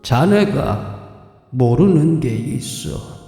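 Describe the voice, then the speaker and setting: Slow, echoing otherworldly old man played by a man. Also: mid-range voice, expressive, warm tone. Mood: calm, solemn. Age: older. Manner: slow, measured, philosophical. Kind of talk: audio drama acting. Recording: long cavernous reverb, music behind.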